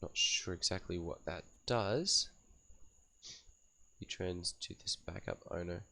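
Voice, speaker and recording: A man with a deep, low voice that is raspy and catching on a cough as he gets over a cold. He talks slowly and unhurriedly, reading menu options aloud and thinking as he goes, like a gaming host walking viewers through a setup. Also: bright, forceful tone. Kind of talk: casual game setup commentary.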